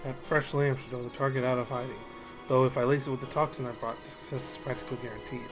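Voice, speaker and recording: A man's voice: mid-range voice, flat delivery, fast talker, natural voice. A man voicing proud, distrustful hunters from a fantasy game, curt and dismissive, as he reads on stream. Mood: tense, scheming. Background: game music.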